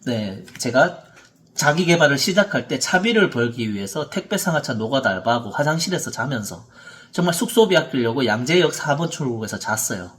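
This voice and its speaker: A man's voice: mid-range voice, expressive, brisk, a bit bright. A man in his thirties giving an earnest, emphatic motivational seminar talk as a speaker and coach. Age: 30s.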